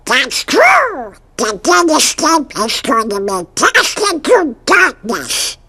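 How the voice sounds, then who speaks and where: An actor voicing a worried, caring animal sidekick in a cartoon video game, with a raspy voice. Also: high voice, wildly swooping pitch, takes it slowly, sharp tone, full character voice.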